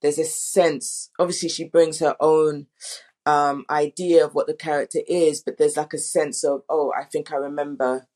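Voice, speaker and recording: A woman director in a casual panel talk, thinking aloud in a halting, conversational way. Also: low voice, little pitch movement, darkish tone. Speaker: audio drama director.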